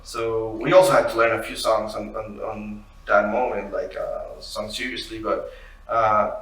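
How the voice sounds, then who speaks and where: A man's voice: on the low side, steady tone, unhurried, a bit bright, recorded from a distance. A man from Puerto Rico and Chile, a band member guest talking loosely about his band. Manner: loose, rambling, laughs along. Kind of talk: casual interview chat. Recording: roomy, off-mic with faint noise.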